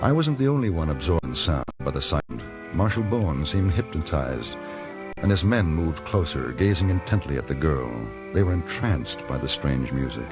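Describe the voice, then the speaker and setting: A low, commanding American frontier gunslinger, courteous yet firm. He talks with measured authority in radio western style. The recording is muffled, with prominent music behind. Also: lively intonation. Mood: reflective narration. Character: steady, courteous but firm male Old West gunfighter-for-hire. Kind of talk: old-time radio western acting and narration.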